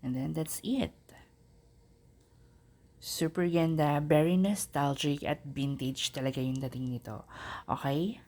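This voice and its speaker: Low-voiced Filipino woman vlogger, unhurried. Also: a little mellow. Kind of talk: casual unboxing vlog chat, mixing Tagalog and English. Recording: clearly noisy background.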